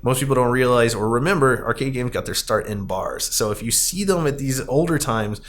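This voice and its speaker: A man in his thirties hosts a relaxed talk about films and arcade games. He rambles in a halting way, often doubling back to correct his own sentences. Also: mid-range voice, slight background noise. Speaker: podcast host.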